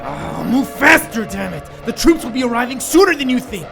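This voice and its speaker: An irritable scientist in charge, in audio drama style. The voice is high and creaky and swoops around as it barks impatient orders over music. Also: male voice, big pitch swings, normal speed, full character voice. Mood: impatient, urgent.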